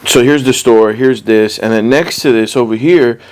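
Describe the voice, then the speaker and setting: A man's voice: mid-range voice, normal speed, darkish tone, clear, resonant tone, poor audio. A man speaking as an interview guest, telling personal stories in informal, streetwise language full of "you know.". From born in the US, Puerto Rican, moved to Puerto Rico as a child. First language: English. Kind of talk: casual personal storytelling.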